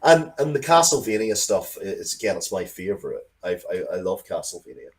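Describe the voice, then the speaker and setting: A middle-aged man with a fairly low voice talks casually in an interview. He rambles through his stories with enthusiasm and swears freely, like a creator chatting about his own work. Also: brisk, rough recording. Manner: sweary, rambling, animated storytelling. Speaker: interview guest, game and comics creator.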